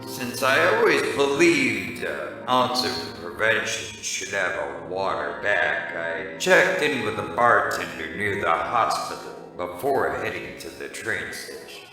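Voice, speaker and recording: Man acting a deep, echoey noir private eye. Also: slow pace, hardly any pauses, heavily acted. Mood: deadpan, world-weary. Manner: deadpan, world-weary. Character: hard-drinking, wisecracking male noir private eye, irritable. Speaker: lead actor and narrator of a radio play. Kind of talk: noir detective radio drama narration. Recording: very echoey, distant, music behind.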